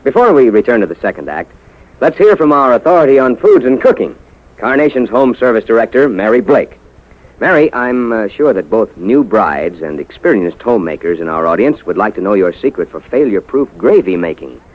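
An American man hosts a 1950s radio show with polished emphasis. His lowish voice swings widely in pitch. The recording is dull and old. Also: sing-song, fairly quick. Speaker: radio announcer and host. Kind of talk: 1950s scripted radio announcing and sponsor pitch. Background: music.

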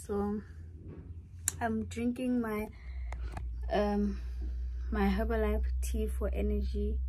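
A woman's voice: a little high, darkish tone, a little hiss or hum, rough recording. A young woman from South Africa chatting casually in a vlog, giggly and thinking aloud as she goes. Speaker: vlogger.

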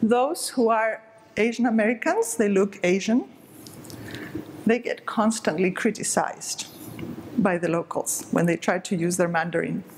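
A woman's voice: mid-range voice, measured pace, a little mellow, slight background noise, rough recording. A middle-aged woman from southern Spain, giving an explanatory, emphatic stage talk to an audience. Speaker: speaker. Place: dark stage with curtain.

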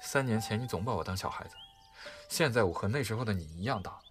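A man playing a caring, jealous young man in an audio drama, speaking earnestly with gentle insistence. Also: lowish voice, lively intonation, fast talker, soft background music, close to an everyday voice. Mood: hurt, insistent. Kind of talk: audio drama acting in Mandarin.

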